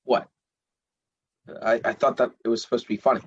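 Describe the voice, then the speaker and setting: A man's voice: medium pitch, little pitch movement, a little fast, dull audio, lightly acted. A man voicing a lovestruck, laid-back god of the underworld who flirts and jokes. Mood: casual. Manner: smooth, flirty, joking.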